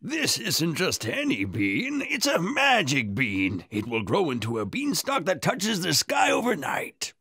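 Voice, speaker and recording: A man playing a persuasive old trader in an animated children's story, coaxing like a salesman in a gravelly, creaky voice. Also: on the high side, unhurried, hardly any pauses, no echo, studio quality, full character voice.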